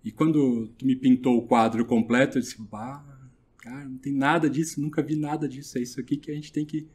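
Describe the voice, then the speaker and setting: A middle-aged man, telling his story in a relaxed, chatty way as a podcast guest. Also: mid-range voice, a little fast, husky. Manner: relaxed, chatty, many fillers. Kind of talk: casual interview storytelling.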